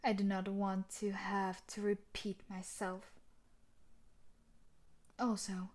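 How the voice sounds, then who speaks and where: A woman's voice: medium pitch, flat delivery, slow pace, darkish tone. A woman playing a tough, sadistic, possessive bully and martial artist in a roleplay audio drama, taunting and condescending. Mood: contemptuous, threatening.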